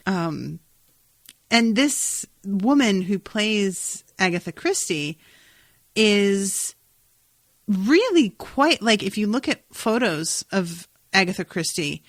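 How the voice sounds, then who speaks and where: A woman's voice: medium pitch, very animated, takes it slowly, no echo. A woman co-hosting a podcast in casual recap chat, exclamatory and freely swearing. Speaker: podcast co-host.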